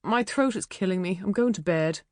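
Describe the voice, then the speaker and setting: A woman's voice: mid-range voice, a little fast, darkish tone, no echo, clean studio sound, natural voice. A woman voicing a blunt, scornful, dramatic Irish woman in her late twenties in an audiobook novel. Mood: weary, complaining. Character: scornful, world-weary woman of twenty-nine, private investigator.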